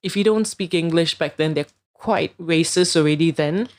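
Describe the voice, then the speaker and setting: A woman in her thirties from Singapore, a guest in a casual podcast interview, telling her story in a relaxed way with thoughtful pauses. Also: sits low.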